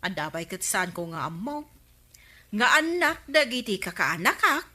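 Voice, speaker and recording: A woman's voice: mid-range voice, sing-song, moderate pace, pushed and bright. A woman with an Ilocano accent playing a talkative, blunt village woman in a radio comedy drama. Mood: chatty. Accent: Ilocano Filipino.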